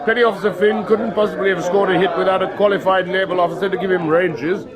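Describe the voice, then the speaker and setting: Haughty high-voiced English naval officer, man acting. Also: steady tone, quick pace, crowd noise, natural voice. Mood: smug. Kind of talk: radio drama acting.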